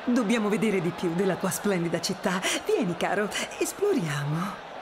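A gracious older queen acted by a woman, with a medium-pitched, very lively voice. She is a doting cartoon mother, heard over clear background ambience. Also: moderate pace, background noise, natural voice. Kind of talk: animated game dub.

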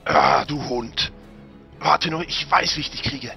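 Man acting a brutish guard, very raspy. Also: on the high side, sing-song, unhurried, bright, forceful tone, soft background music, exaggerated voice. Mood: enraged, taunting. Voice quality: raspy, strained. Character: brutish, hot-tempered castle guard. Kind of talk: fantasy audio drama acting.

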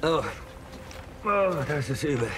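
A man's voice: a little high, expressive, slow, background noise. An actor playing a blunt, alert male squad soldier in a dubbed video game. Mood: uneasy. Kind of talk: German video game dubbing.

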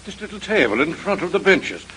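An actor playing a loyal, folksy old museum guard in a 1930s radio drama. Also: male voice, high voice, very animated, fairly quick, fairly bright tone. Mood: agitated, alarmed. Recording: noisy vintage radio transcription.

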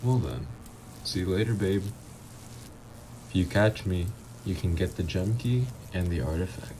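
Man acting as a slow, deep, smug villain ex. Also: very noisy. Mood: flirty, taunting. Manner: smug, drawn-out, intimate teasing. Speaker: voice actor. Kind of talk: flirty ASMR roleplay acting.

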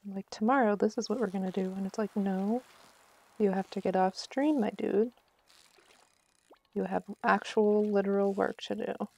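Casting: female game streamer, mellow tone with vocal fry, chatty and mock-exasperated, thinking aloud. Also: mid-range voice, steady tone, moderate pace. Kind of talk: casual live game stream commentary.

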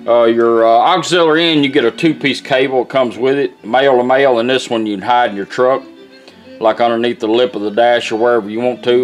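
Folksy man giving a casual product demo. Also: medium pitch. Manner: folksy, plain-spoken. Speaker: vintage car radio restorer and product demonstrator. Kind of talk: casual hands-on product demonstration. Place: indoors at a workbench. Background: music and radio playing.

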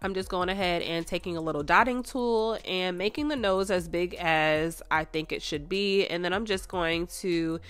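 Female nail vlogger, slightly low voice, hoarse and congested, chatty and rambling, dry close sound. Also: moderate pace, few pauses, fairly bright tone, dry recording, soft background music. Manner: chatty and rambling, full of "like" and "you guys". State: hoarse and stuffed up. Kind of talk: casual nail art tutorial.

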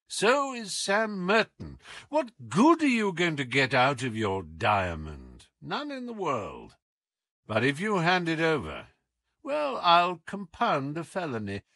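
A man voicing a sharp, commanding detective in an audiobook, coolly taunting, with deliberate, teasing emphasis. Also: on the high side, huge pitch swings, no room sound, clean studio sound, pitched above the performer's own voice, full character voice. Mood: calm, persuasive. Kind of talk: audiobook character acting.

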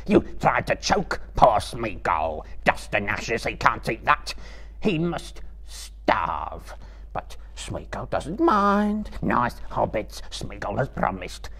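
A man voicing a wretched, skinny, malicious cave creature with a raspy, hissing, whining voice in a live story reading. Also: on the low side, very animated, a bit bright, full character voice. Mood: self-pitying whine. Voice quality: raspy, creaky, hissing whisper. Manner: whining, drawn-out, self-pitying muttering.

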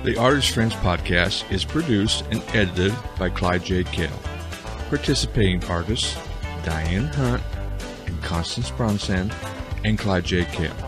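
Slow, low-voiced man announcing over guitar music. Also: expressive. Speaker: podcast credits announcer. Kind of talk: scripted outro announcement.

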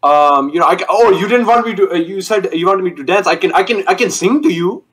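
A young man on a video call through a gaming headset, joking and boasting in a loud, cocky way. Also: sits high, fast talker. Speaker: online date contestant. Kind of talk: casual video call banter.

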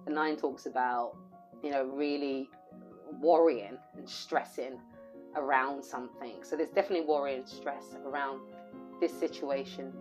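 A woman with a low, hoarse voice talks through a tarot reading, circling back on her phrases and leaning on fillers. Faint music plays quietly in the background. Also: steady tone, moderate pace. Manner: rambling, repeats phrases, many fillers. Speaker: tarot reader. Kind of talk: intuitive tarot reading to camera.

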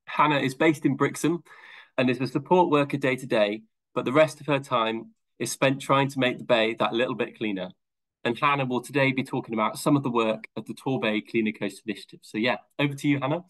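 A man's voice: mid-range voice, steady tone, fairly bright tone. Man hosting a webinar over video call. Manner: friendly, slightly halting with fillers. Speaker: webinar host. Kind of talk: introducing a speaker.

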